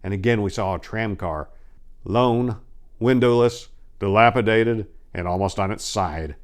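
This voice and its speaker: A man's voice: lowish voice, a little slow, natural voice. A man voicing a haunted, dread-stricken narrator of cosmic horror, reading theatrically and solemnly with swelling and sinking delivery. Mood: haunted, grim. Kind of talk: dramatic horror story reading.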